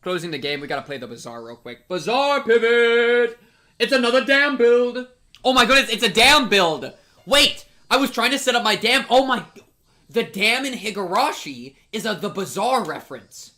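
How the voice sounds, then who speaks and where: A man hosting a live game playthrough, excitable and given to repeating his phrases, bantering with chat and reading game text aloud. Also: sits high, moderate pace. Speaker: livestream host.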